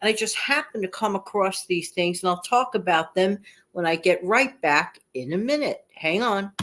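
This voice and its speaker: Woman, channel host, slightly nasal voice, mid-range pitch, casual chat, emphatic delivery, repeated phrases. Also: expressive, moderate pace, a bit bright. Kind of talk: casual chatty vlog.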